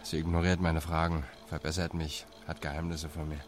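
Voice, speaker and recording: Deep, terse defensive programmer. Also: male voice, level tone, fairly quick, slight background noise. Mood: subdued worry. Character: Rick, defensive, devoted male programmer of an AI. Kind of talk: radio drama dialogue.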